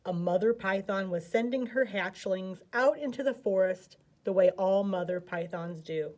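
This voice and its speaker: A woman's voice: mid-range voice, moderate pace, darkish tone. An American woman narrating a children's picture book expressively as a storyteller.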